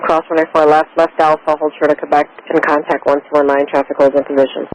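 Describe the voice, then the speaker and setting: Brisk male tower controller, rapid and flat. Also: a little high, background noise. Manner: brisk, clipped, even-toned instructions. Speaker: airport tower air traffic controller. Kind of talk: radio phraseology.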